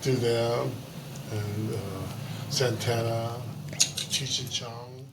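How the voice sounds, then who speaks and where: An older male musician, hoarse from singing the night before, chatting playfully as a guest. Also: mid-range voice, level tone, extremely slow, background noise. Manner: playful, jokey, breaks into song. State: hoarse, losing his voice. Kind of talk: casual interview chat.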